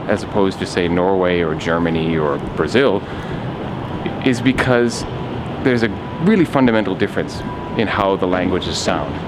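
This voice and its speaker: A man in his thirties with a lowish voice talks straight to camera, separating his words and enunciating carefully. A loud hum of air conditioning and background noise sits under him. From Wisconsin, United States. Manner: slow, deliberately enunciated speech with clear separation between words. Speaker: YouTuber living in Japan. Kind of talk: casual talking-head vlog.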